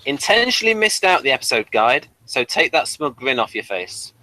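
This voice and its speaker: A man's voice: medium pitch, big pitch swings, normal speed, pushed and bright, no room sound, poor audio. A man co-hosting a casual review show, often stammering and restarting his phrases as he explains. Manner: stammering, repeats phrase starts. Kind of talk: casual unscripted review chat.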